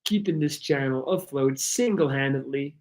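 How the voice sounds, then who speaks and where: A man's voice: medium pitch, moderate pace. A man whose first language is Dutch, speaking English casually and rambling a little as he hosts a live Q&A, with slightly altered articulation of some sounds. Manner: relaxed, rambling, reads chat aloud. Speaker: livestream host answering viewer questions.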